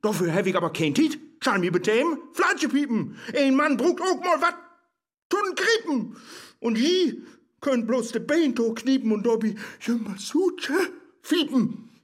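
An actor with a northern German accent playing a crude, scornful, self-pitying suitor in a verse radio play, ranting and whining. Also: male voice, extremely high pitch, animated, measured pace, exaggerated voice. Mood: indignant, scornful.

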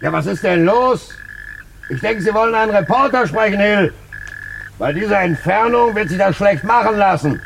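An actor playing a brash, impatient newspaper reporter calling out in a radio crime drama. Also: male voice, sits high, animated, normal speed, creaky, fairly bright tone, heavily acted. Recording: hissy old radio play recording.

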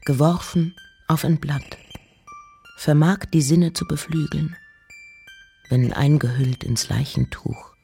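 A woman giving a literary reading of poems and letters with measured, deliberate phrasing. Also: deep voice, vocal fry, mellow.

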